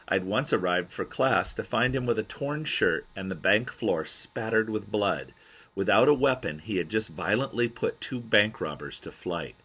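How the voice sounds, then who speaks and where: A man narrates a memoir in a fairly low, husky voice with a bright, forceful edge. He reads as the author of the book, an expressive storyteller who gives quoted dialogue its own delivery.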